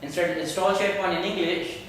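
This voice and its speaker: A man's voice: sits high, fairly even intonation, fairly quick, a bit bright. A man hosting a casual tech talk, asking questions eagerly and often repeating his words. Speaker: show host. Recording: echoey room, mic far off, faint hiss.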